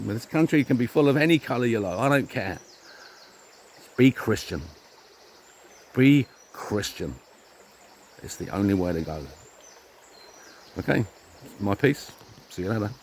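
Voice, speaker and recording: A man's voice: fairly low pitch, animated, moderate pace, long pauses, slight background noise. Combative English man ranting outdoors. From England. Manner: combative, rhetorical questions, swearing. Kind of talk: opinion rant to camera.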